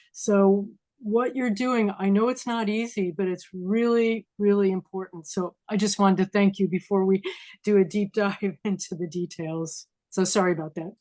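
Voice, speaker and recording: A woman's voice: mid-range voice, darkish tone. A woman organizer thanking volunteers earnestly and emphatically during an online meeting. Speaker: group organizer.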